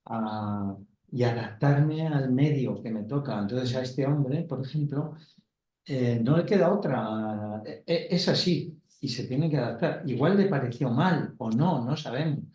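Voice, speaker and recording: Thoughtful man, mellow voice, echoey room. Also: medium pitch, unhurried. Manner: thoughtful, hesitant, searching for words. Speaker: panelist, Gestalt therapist. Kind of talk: round-table discussion. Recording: roomy, dull, lo-fi recording.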